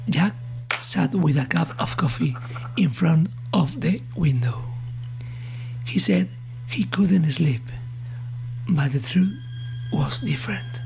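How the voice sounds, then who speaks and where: A man reading scripted horror narration in a suspenseful, often breathy manner. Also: on the high side, rough recording. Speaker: story narrator.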